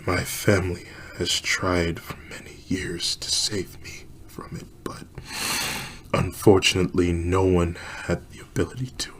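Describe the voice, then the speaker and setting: A man voicing a frail, dying middle-aged woman who speaks in a weak, slow, whispery voice. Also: sits low, flat delivery. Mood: weak, resigned.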